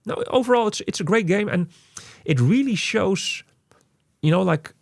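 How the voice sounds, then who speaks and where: A man hosting a casual game review, speaking haltingly and often repeating his words. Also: sits high, expressive, gravelly, dry recording, studio quality. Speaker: podcast host reviewing a video game.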